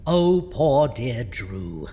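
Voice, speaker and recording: A woman's voice: extremely low pitch, very animated, drawn-out words, dark tone, full character voice. A woman playing a zombie doctor who hosts a campy horror show, with a pompous, florid, theatrical manner. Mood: mock pity. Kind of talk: campy horror host monologue. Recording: long hall reverb.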